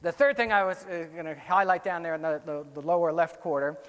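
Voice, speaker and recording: A man's voice: fairly high pitch, fairly even intonation, brisk, clear, resonant tone. A man with an American accent gives a conference keynote on research findings in a conversational way, often correcting himself. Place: indoor conference hall, on stage. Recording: echoey hall with long reverb.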